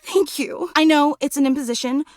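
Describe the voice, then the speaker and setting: A woman voicing an adoring, anxious superfan in a video game, effusive, dramatic and quick to put herself down. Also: high-pitched, dry recording, clean studio sound, natural voice. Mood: adoring, hopeful. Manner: effusive, dramatic, self-deprecating. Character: gushing, anxious, adoring superfan, an animate household object. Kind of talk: video game character voice acting.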